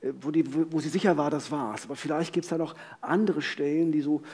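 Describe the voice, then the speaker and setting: A man's voice: on the high side, fairly even intonation, a little fast, darkish tone, breathy edge. A middle-aged man co-hosting a live true-crime talk, phrasing questions in a searching, self-correcting way. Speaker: co-host. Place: vaulted venue, live audience on stage. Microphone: headset.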